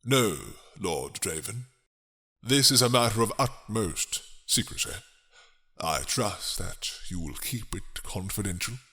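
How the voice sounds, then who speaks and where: A man voicing a grave, suspicious king in a dramatic roleplay, stately, formal and deliberate. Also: fairly low pitch, expressive, sharp tone, exaggerated voice. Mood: grave, commanding.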